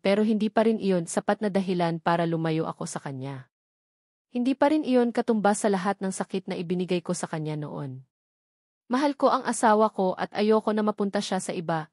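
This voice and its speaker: Female audiobook narrator, romance fiction, mid-range pitch, close dry sound. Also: a little mellow, no room sound. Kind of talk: scripted first-person narration of a romance novel.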